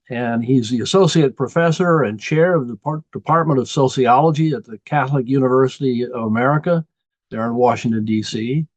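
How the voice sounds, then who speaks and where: A man from Canada hosting an interview over a video call, measured and a bit hesitant. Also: medium pitch, dry recording. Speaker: guest host. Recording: dull, dry video-call audio.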